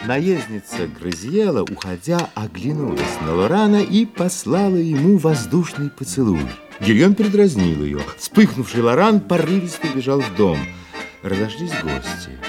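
A man's voice: mid-range voice, huge pitch swings, moderate pace. A man narrating an audio play as a storyteller, setting each scene in scripted dramatic prose. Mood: storytelling. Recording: studio narration over music.

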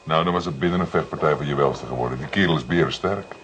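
A younger male detective in a radio play, eager and informal. He talks fast in a very deep voice, full of inquiry. Faint noise sits behind him. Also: a bit bright. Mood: inquisitive. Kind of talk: radio drama acting.

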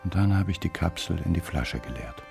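An actor playing a brooding male poisoner who coldly and deliberately recounts his revenge in a radio drama monologue. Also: low-pitched, animated, creaky, heavily acted. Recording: music bed under the voice.

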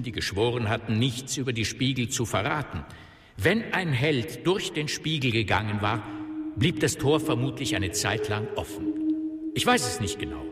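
An actor playing a grave, learned wizard mentor in a German radio drama, speaking in a solemn, measured, explanatory way. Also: male voice, mid-range voice, a bit bright, natural voice. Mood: thoughtful. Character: grave, learned male wizard mentor revealing ancient secrets. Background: music.

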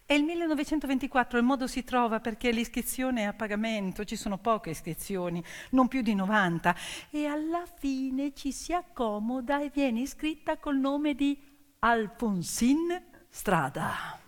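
A woman telling a story theatrically in short emphatic phrases with repetition, moving between hushed and loudly projected delivery. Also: on the high side, animated, moderate pace. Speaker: stage storyteller. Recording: long hall reverb, music behind. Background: music, piano accompaniment.

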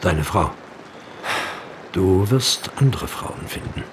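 An actor playing a dignified, devout Turkish Aga in a radio drama, speaking solemnly and deliberately in a declamatory way. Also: male voice, deep voice, animated, background noise. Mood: urgent persuasion. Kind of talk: literary radio drama acting. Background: music.

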